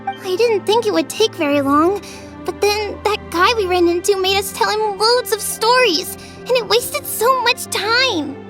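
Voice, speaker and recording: A woman's voice: very high voice, a little slow, a bit bright, faint music behind. A woman voicing an earnest, anxious young child from a tribe in a video game. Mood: worried.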